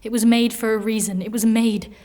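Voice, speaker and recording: An actress playing a defiant, haunted fantasy warrior in an audio drama, terse, intense and hushed. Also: female voice, on the high side, steady tone, a little fast, close to an everyday voice. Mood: grim, bitter.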